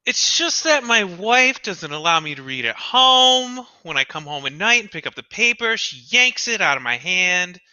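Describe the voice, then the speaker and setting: A man's voice: high-pitched, very animated, normal speed, few pauses, sharp tone, clean tone, lo-fi sound, exaggerated voice. A man reading the part of a timid, bookish bank teller in a live radio-play reading, hesitant and apologetic. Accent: American. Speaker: live script reader.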